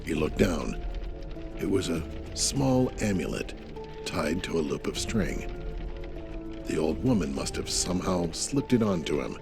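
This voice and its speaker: A man's voice: deep voice, very animated, measured pace, creaky, pauses often. A man narrating a scripted audio drama in a dramatic storytelling style. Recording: music bed behind the voice. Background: music.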